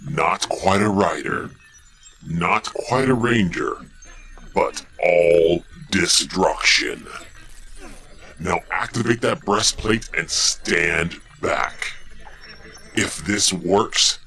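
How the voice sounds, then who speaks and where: A man acting as an armored tyrant count in an audio drama, a commanding and menacing villain with a husky voice. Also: low voice, extremely slow, pauses often, sharp tone, exaggerated voice. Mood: grandiose, menacing.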